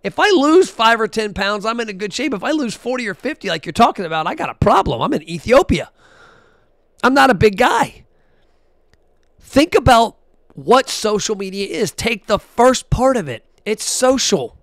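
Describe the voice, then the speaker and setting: Blunt, animated man on a dry mic. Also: high voice, normal speed, no echo. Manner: blunt, animated, talks while scrolling and counting aloud. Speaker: podcast host. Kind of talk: casual solo podcast talk.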